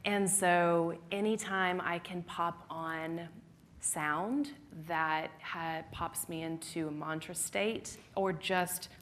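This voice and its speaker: A woman answering questions on a live panel, animated and self-described as hot-headed and fiery. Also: fairly low pitch, slow pace, slight background noise.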